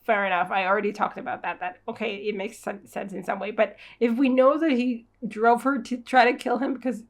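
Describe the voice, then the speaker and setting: A woman's voice: on the high side, steady tone, brisk. A woman giving a casual read-along reaction as host, with informal, wry, sarcastic commentary.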